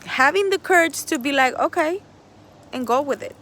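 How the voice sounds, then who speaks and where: High-voiced woman talking outdoors. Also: animated, normal speed, fairly bright tone. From El Salvador. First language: Spanish. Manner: earnest, rambling, swears freely, laughs often. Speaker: vlogger. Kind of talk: casual motivational monologue. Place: outdoors in nature.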